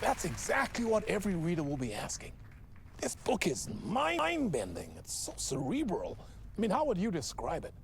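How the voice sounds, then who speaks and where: Exuberant high-pitched talk show host. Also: male voice, huge pitch swings, moderate pace, vocal fry, a crowd in the background, noisy background, exaggerated voice. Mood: playful, intrigued. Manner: effusive, showy, playful, dramatic emphasis. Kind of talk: theatrical late-night talk show hosting.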